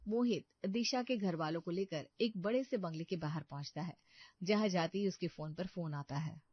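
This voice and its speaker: A woman's voice: lowish voice, normal speed, slightly rough, dry recording. Woman narrating a cartoon story, slightly muffled. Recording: muffled dry close recording.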